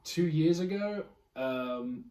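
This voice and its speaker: A man's voice: a little high, lively intonation, slow pace, warm tone. A man hosting a casual group discussion in a hesitant, conversational way. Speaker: podcast host. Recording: roomy echo, distant from mic.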